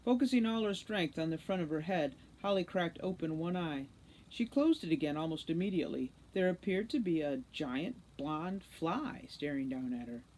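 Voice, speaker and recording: A woman reading a children's novel aloud as an expressive narrator. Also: sits low, normal speed, slight background noise, low-quality recording. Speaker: read-aloud storybook narrator, teacher.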